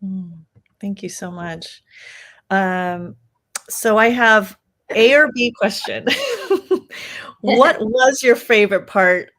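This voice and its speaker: A woman at a mid pitch opens a live online book talk and welcomes the viewers. She introduces her guest and explains the session in a clear, organized way. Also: slow, long pauses, fairly bright tone. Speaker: host and co-director.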